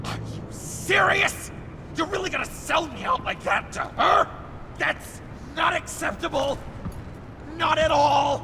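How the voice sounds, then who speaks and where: A man's voice: very high voice, takes it slowly, creaky, recorded from a distance, noisy background, exaggerated voice. A man playing an unhinged, theatrical villain clown in a video game, ranting with indignant, self-righteous outrage. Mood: outraged, betrayed.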